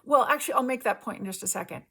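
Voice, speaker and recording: A woman host giving an earnest spiritual testimony in casual language, speaking emphatically with halting pauses between short phrases. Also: on the high side, lively intonation, vocal fry, bright, forceful tone, husky.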